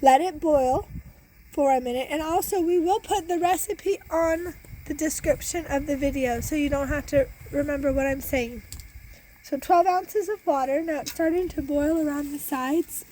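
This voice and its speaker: A woman's voice: sits high, normal speed, warm tone, slight background noise, low-quality recording. A woman in her forties who is losing her voice, chatting step by step through a beekeeping recipe out on her back deck, filmed close on a phone. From Iowa, US. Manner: chatty, explains step by step with many restarts. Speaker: homesteader and beekeeper. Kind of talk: casual how-to cooking demonstration. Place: outdoor back deck in a farm yard. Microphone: phone camera held close.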